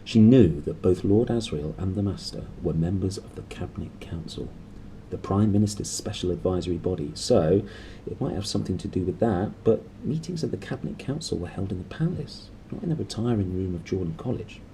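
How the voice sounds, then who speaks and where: A man's voice: sits low, animated, brisk, dark tone, slight background noise, low-quality recording. A man reading a story aloud expressively. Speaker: reader and host. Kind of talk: expressive audiobook narration with casual asides.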